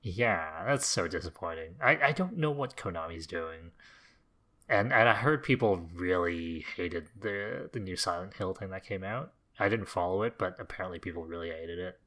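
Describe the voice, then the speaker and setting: Deep-voiced, bright-toned man chatting casually. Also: normal speed. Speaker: podcast co-host. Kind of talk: casual chat about video games.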